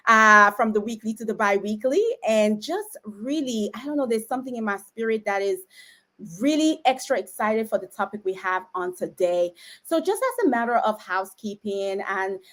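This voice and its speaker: A woman's voice: medium pitch, fairly bright tone. A middle-aged woman hosting an online roundtable over a video call, with exuberant greetings and a rhythmic, preacher-like cadence. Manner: exuberant, hype-style greetings and affirmations. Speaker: host of a roundtable.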